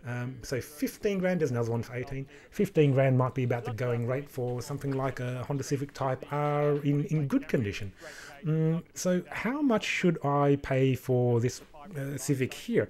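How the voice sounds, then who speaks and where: A man's voice: medium pitch, normal speed. A man in his thirties with an Australian accent, casually talking through car auction listings on a home livestream in a small room. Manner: relaxed, chatty, rambling with many fillers. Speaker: car enthusiast streamer.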